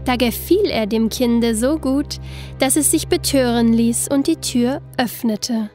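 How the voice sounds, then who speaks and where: Woman reading a fairytale, high voice, slow and expressive, bit of music behind. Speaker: storyteller. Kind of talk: scripted fairy-tale narration.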